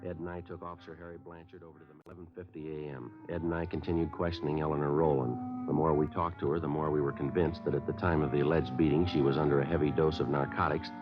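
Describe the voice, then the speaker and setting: A man's voice: a bass voice, flat delivery, fast talker, hardly any pauses. An actor playing a terse police detective sergeant who narrates his case in clipped, matter-of-fact 1950s radio drama style. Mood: flat report. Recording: muffled old radio broadcast with music.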